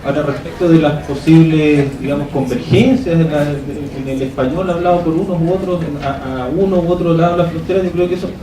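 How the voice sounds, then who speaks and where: A man's voice: fairly high pitch, fairly quick, few pauses, a crowd in the background. Man presenting in an echoey hall. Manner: explanatory, checks in with frequent tag questions. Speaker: conference presenter. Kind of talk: academic conference presentation. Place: conference hall. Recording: echoey hall, distant mic, noisy.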